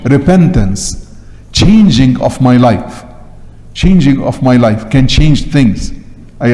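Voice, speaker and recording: A man's voice: mid-range voice, warm tone. An older man with an Egyptian Arabic accent, a priest giving an emphatic sermon that repeats short phrases for weight. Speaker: Coptic Orthodox priest. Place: large church interior, at the pulpit. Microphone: gooseneck pulpit microphone. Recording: long church reverb.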